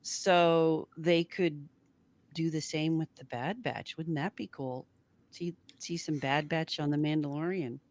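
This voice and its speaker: Female co-host, middle-aged, low register, folksy casual conversation. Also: unhurried, darkish tone. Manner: folksy, says y'all. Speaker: live stream co-host. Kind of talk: casual fan chat.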